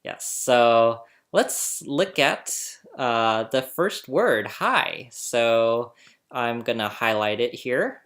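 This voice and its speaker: A man's voice: medium pitch, animated, extremely slow, a bit bright. A man hosting a casual tutorial, chatty and laughing often as he explains, recorded on a laptop mic indoors. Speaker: host explaining phonetics. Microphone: laptop webcam mic.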